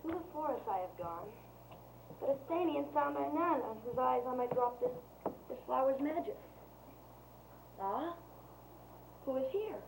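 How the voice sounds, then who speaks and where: A woman's voice: high voice, animated, unhurried, vocal fry, pauses often, full character voice. A woman playing a busy fairy servant casting love charms, declaiming Shakespearean rhyme. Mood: searching, mischievous. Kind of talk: Shakespearean verse stage acting. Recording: echoey room, faint noise.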